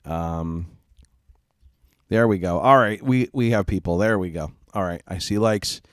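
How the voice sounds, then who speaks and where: Stuffy-nosed man, slow and low. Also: clear, resonant tone, no room sound, clean studio sound. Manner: rambling and apologetic, many fillers and restarts. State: congested, stuffy nose from a cold. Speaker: streamer hosting a gaming stream. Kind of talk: casual live-stream chat. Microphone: home streaming mic.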